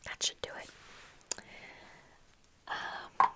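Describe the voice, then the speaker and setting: A young woman speaking in a breathy whisper, softly and casually rambling in an ASMR style, close to the microphone. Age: young adult. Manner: soft, unhurried, casual rambling. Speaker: ASMR video creator. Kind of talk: whispered ASMR, casual get-ready-with-me chat. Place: small indoor room at home with bookshelves. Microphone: close fluffy-covered microphone, monitored on earphones.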